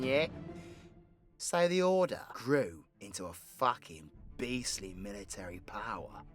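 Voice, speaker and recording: A man's voice: high-pitched, sharp tone. A man with a British accent playing a cocky, foul-mouthed, sarcastic supervillain who tells stories in an audio roleplay. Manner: cheeky, sweary, colloquial, theatrical swings into exclamation. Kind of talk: villain audio roleplay, rambling comedic storytelling.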